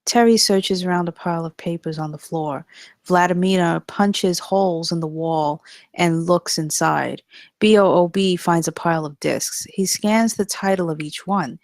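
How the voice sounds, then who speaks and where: A woman's voice: lowish voice, no room sound, clean studio sound. A woman narrating the stage directions at a screenplay table read in a scripted style.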